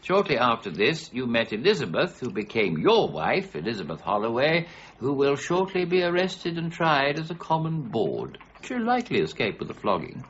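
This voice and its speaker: An actor playing a stubborn, exacting middle-aged warden of the Royal Mint, precise and formal in a radio drama. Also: male voice, medium pitch, huge pitch swings, moderate pace, a bit bright. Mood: stern, menacing. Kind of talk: BBC radio drama acting. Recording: muffled radio broadcast with faint noise.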